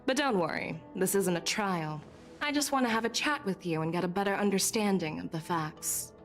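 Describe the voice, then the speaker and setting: A woman's voice: medium pitch, natural voice. A woman playing a poised, courteous but probing military general in a video game. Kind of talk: scripted video game dialogue. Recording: game audio with music bed. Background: background music.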